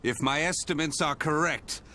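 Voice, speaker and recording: An actor playing a theatrical, sadistic video game villain who grandly professes love for humanity, with a flamboyant, drawn-out delivery. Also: male voice, a little high, bright, forceful tone, slight background noise. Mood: grandiose devotion.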